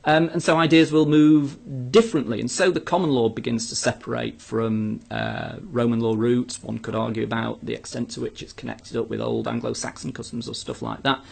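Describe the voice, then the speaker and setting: A man's voice: mid-range voice, steady tone, normal speed, creaky, few pauses, husky. A man giving an informal academic talk as a lecturer, answering questions off the cuff in a tentative, self-interrupting way, thinking aloud. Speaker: law lecturer leading a live question session.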